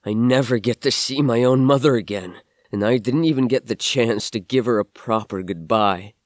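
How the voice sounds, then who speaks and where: An earnest young man, played by an actor, speaks in a mid-pitched voice with a dry, close sound. He is a devoted son, desperate but brave and insistent, in an audio drama. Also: moderate pace, no room sound, lightly acted. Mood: controlled anger.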